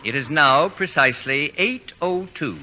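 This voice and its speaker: An actor playing a pompous, fastidious man who orders students around in formal, precise diction, in 1940s radio comedy style. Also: fairly high pitch, animated. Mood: stern, formal. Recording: noisy vintage radio broadcast with clicks.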